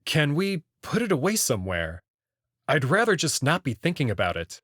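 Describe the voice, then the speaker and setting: A man's voice: fairly high pitch, huge pitch swings, moderate pace, fairly bright tone, dry recording, studio quality, exaggerated voice. A man reading a wary stranded young man.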